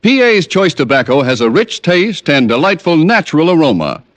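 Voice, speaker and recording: A man announcing on a 1950s radio program in an emphatic, lively delivery. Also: on the high side, normal speed.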